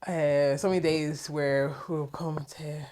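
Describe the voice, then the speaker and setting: A woman in her twenties co-hosting a podcast, chatting in a relaxed way and laughing between phrases. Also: low voice, takes it slowly, slight background noise. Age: 20s. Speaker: podcast co-host. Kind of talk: casual conversational chat.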